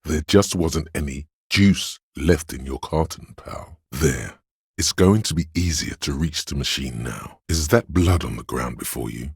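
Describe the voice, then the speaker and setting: Embodied pain as game narrator, extremely low, husky and rough, menacingly intimate, deliberate, darkly wry. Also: male voice, dry recording, studio quality, full character voice. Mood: wry, grim. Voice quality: gravelly, husky. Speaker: video game voice actor. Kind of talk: scripted second-person game narration.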